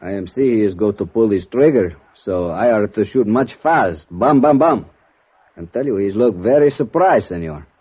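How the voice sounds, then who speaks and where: A man's voice: on the low side, lively intonation, slightly rough. An actor playing a polite, cheerfully deadly Mexican gunman with a Mexican accent and broken English in a 1950s radio drama. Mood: cool, pleased. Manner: broken English, deliberate phrasing. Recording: dull, muffled 1950s radio recording.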